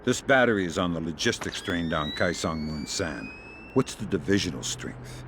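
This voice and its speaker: Deep-voiced, weary American covert operative. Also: male voice, animated, normal speed, creaky, background noise. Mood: calm, persuasive. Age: middle-aged. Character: weary middle-aged American male covert operative, calm and wry. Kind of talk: video game voice acting.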